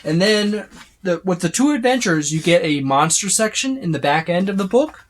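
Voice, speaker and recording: A tabletop game reviewer giving a casual, unscripted overview, speaking haltingly with repeated words and trailing lists. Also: medium pitch, lively intonation. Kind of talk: casual unscripted book flip-through overview.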